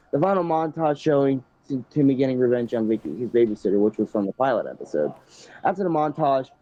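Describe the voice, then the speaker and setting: Brief: young man reading a story aloud with commentary, mellow voice, dry, dull home recording. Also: medium pitch, no room sound. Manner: stumbling, restarting read-aloud with laughs.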